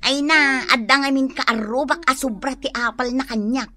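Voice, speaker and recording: An actress playing a vain, combative young village wife in an Ilocano radio drama. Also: female voice, high-pitched, normal speed, pushed and bright.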